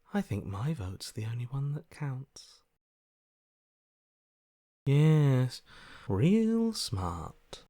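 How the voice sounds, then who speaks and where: An actor playing a detached, emotionless inner voice in a video game, dryly sardonic in manner. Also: male voice, medium pitch, darkish tone, no room sound, studio quality. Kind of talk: scripted game voice acting.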